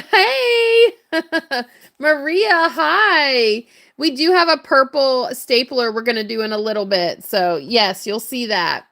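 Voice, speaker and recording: A woman with a hoarse, worn voice, chatting casually and greeting viewers while crafting on a livestream. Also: sits high, pushed and bright. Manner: chatty, upbeat, laughs often. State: hoarse, voice strained from hours of talking. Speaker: livestream crafter and shop owner.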